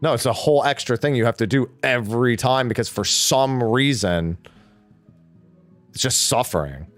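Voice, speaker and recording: A man's voice: mid-range voice, normal speed, faint music behind. A man hosting a casual gaming podcast stream, laughing often and swearing casually.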